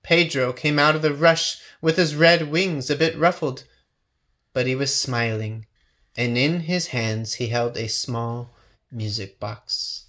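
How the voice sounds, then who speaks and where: A man narrating a children's storybook with expressive, measured phrasing. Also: mid-range voice. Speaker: storybook reader.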